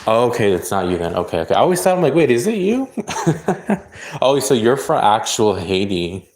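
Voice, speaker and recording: A man's voice: medium pitch, sing-song, moderate pace, a little mellow. A man from California, a psychic reader, chatting loosely with viewers on a livestream and reacting to their comments as he reads them. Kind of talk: casual livestream chat answering viewer comments.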